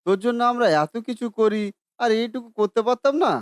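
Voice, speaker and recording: A man voicing a father gently coaxing his son in a cartoon. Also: extremely high pitch, steady tone, brisk, smooth, no echo. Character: boy's father, comforting.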